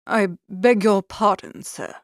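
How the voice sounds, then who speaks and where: A woman playing a deferential but disapproving male valet in an audiobook, hushed, formal and restrained. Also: mid-range voice, fairly even intonation, slow, dry recording, clean studio sound, lightly acted. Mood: pained disapproval. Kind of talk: audiobook character acting.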